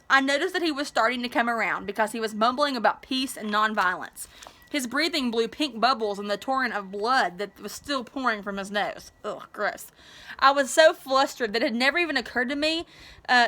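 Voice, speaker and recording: A woman teacher reading a story aloud expressively, with an animated, informal manner. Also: fairly high pitch, moderate pace. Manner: animated, informal asides to listeners.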